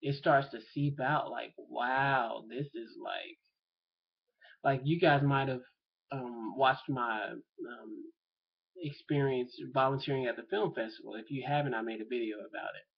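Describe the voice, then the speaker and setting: A man's voice: mid-range voice, measured pace, creaky, husky, highs cut off. A man talking casually to camera in an opinion vlog, rambling and thinking aloud with lots of fillers. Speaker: vlogger.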